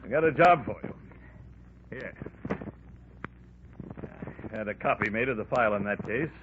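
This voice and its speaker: A man's voice: lowish voice, lively intonation, normal speed, phone-quality sound, close to an everyday voice. Man playing a pompous boss, crackly old radio. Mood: brusque. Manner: brusque, pompous, hesitant when needled. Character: gruff, self-important male insurance company boss. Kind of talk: 1940s radio drama acting. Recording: band-limited, noisy 1940s radio recording.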